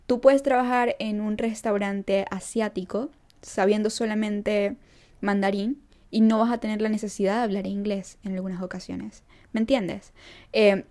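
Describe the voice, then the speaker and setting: A young woman from Venezuela with a Venezuelan accent, chatting animatedly to her viewers in a casual vlog. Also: medium pitch. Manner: animated, talks straight to viewers, keeps asking "¿me entiendes?". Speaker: vlogger. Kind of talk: casual chat to camera.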